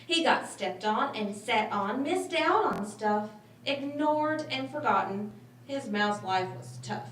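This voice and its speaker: A woman reading a children's story aloud with expressive, dramatic phrasing. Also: fairly high pitch, takes it slowly. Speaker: storybook reader. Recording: roomy, distant mic, faint noise.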